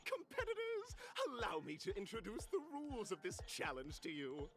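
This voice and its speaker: Wildly animated theatrical festival announcer. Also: female voice, mid-range voice, normal speed. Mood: showy, encouraging. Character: showy theatrical festival host announcing game show challenges. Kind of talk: video game character acting. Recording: music behind the voice.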